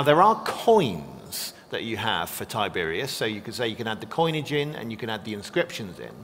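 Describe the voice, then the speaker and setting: A man being interviewed as a guest scholar, explaining history quickly and in a friendly way. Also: mid-range voice, fairly bright tone. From not from Texas. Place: church classroom or hall. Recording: long hall reverb with faint noise.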